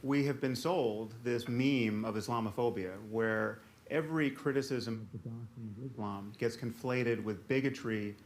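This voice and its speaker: A man's voice: medium pitch, normal speed, few pauses. A man arguing in a televised panel debate, stumbling over restarts as he makes his point. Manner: stammering restarts when interrupted.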